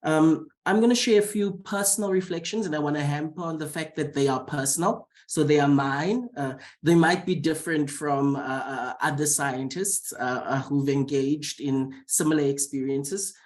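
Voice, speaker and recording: A man with a cold, a scientist, giving a measured, formal talk on a panel over a video call. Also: on the high side. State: has a cold. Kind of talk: prepared talk.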